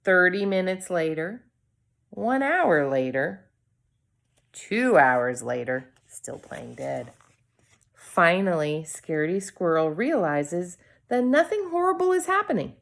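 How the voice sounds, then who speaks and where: A woman reading a storybook aloud to children, expressively, with deliberate dramatic pauses and short asides to her viewers. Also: on the low side, very animated, gravelly.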